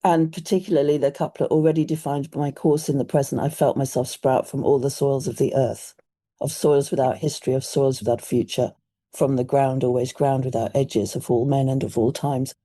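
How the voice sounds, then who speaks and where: A woman with a British accent hosting a poetry podcast, thoughtful and measured as she discusses poems. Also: very deep voice, steady tone, warm tone. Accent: British English. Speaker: podcast host. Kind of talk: conversational podcast chat.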